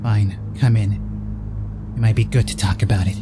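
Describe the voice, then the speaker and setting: A man's voice: fairly low pitch, fairly even intonation, moderate pace, very noisy, natural voice. Man reading a guarded, curt widow. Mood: wary, resigned. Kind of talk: reading game dialogue in character.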